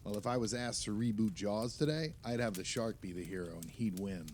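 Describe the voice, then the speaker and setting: An actor playing an opinionated, nostalgic film writer, talking emphatically and at length among friends in a naturalistic audio play. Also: male voice, fairly low pitch, brisk, a little mellow, slight background noise, close to an everyday voice. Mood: exasperated, nostalgic.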